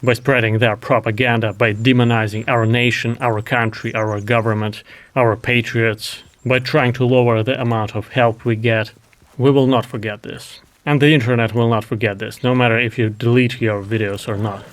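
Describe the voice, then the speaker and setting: A man's voice: medium pitch, little pitch movement, normal speed. A man from Ukraine, a Russian speaker, hosting a heated commentary in a sarcastic, mocking tone. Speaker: commentary host. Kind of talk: reaction commentary.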